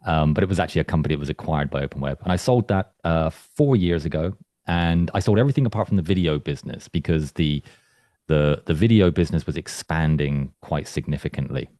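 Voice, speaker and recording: A man's voice: a bass voice, fairly quick, mellow, no echo, studio quality. A man giving a chatty, self-deprecating presentation over a video call, often restarting his sentences. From England. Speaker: invited speaker, studio founder. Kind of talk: presentation to an online club.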